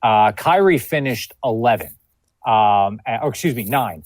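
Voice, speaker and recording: A man with a mid-range voice arguing the contrarian side on a sports podcast. He is animated and keeps restarting his phrases. The sound is close and dry. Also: mid-range voice, moderate pace, no room sound. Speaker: podcast co-host. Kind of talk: casual podcast chat.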